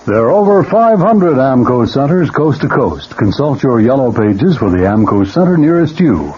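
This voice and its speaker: A muffled old radio recording of a male announcer with a mellow voice. His pitch is animated as he delivers commercial copy in 1970s style. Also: moderate pace, slightly rough.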